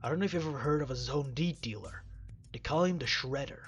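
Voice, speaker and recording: A man voicing a sombre, apologetic male police officer in a visual novel, low, measured and subdued. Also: a bit bright, highs cut off, faint music behind, close to an everyday voice. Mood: sober.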